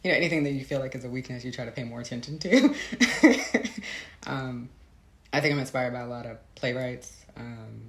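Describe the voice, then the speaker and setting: Creaky-voiced woman in thoughtful interview. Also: a bass voice, fairly quick, echoey room. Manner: thoughtful, hesitant, often laughing lightly. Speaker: guest author. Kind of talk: conversational interview, reflective answers.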